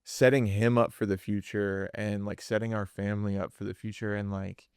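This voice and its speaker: Rambling low-voiced young man. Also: little pitch movement, moderate pace, darkish tone, clear, resonant tone, no room sound, studio quality. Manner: rambling, full of filler words. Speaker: podcast co-host, musician. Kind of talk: casual chat.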